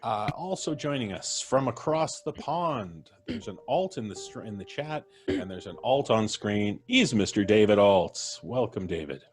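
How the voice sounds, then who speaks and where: A man's voice: on the low side, expressive, unhurried. A man hosting a live-streamed show, chatting casually and jokingly as he introduces his guests. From Canada. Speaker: host. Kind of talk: casual livestream chat.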